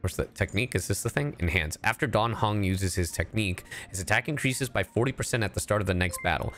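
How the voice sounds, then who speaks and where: Bilingual streamer guy from ecuador, super animated voice, goofy, flips english and spanish. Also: lowish voice. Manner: playful, animated, switching between English and Spanish. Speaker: streamer and voice actor. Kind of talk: casual live game stream.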